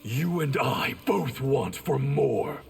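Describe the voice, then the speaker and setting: An actor playing a cold, ambitious male clan warrior in a video game, speaking in a stern, measured way. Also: medium pitch, expressive, gravelly, slightly rough, exaggerated voice. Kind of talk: video game character voice acting.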